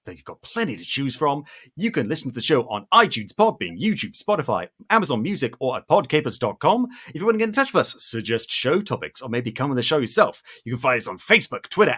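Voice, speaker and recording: A man's voice: mid-range voice, a bit bright. A man hosting a podcast in an upbeat style, with an enthusiastic, playful manner. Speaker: podcast host.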